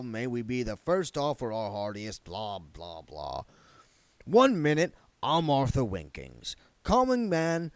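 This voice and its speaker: A man's voice: medium pitch, dry recording. A man voicing a blunt, gloomy older working-class man, a reluctant vampire count, in a book read aloud.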